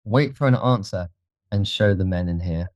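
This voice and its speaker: Male narrator playing a genteel young gentleman, lowish pitch, courteous, commanding, slightly muffled recording, storybook dialogue. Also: normal speed, dry recording, close to an everyday voice. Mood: polite, commanding. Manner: courteous, genteel, giving orders politely. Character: charming, wealthy young gentleman, polite but commanding.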